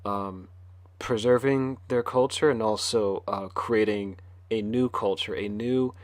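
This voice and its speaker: A man speaking in an informal lecture style, halting and often pausing mid-phrase. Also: on the low side, fairly even intonation, no room sound. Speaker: conference speaker.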